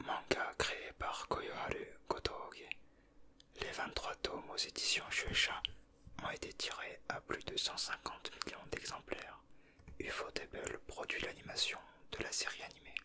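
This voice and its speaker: Man, ASMR creator, breathy whisper, sharp sibilant brightness, hushed and casual. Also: moderate pace. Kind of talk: whispered ASMR, chatting and reading aloud from a booklet.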